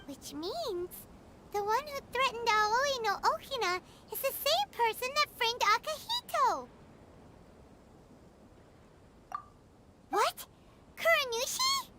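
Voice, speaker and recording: A woman voicing a tiny, childlike, excitable fairy companion in a video game, with a squeaky voice. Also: measured pace, heavy background noise, exaggerated voice. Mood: surprised. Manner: excitable, exclamatory, refers to self in third person. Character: Paimon, tiny childlike fairy companion, bubbly and easily puzzled. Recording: music behind the voice.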